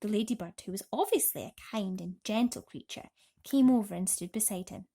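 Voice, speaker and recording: A woman narrating a children's bedtime story as an expressive, dramatic storyteller. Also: mid-range voice, fairly quick.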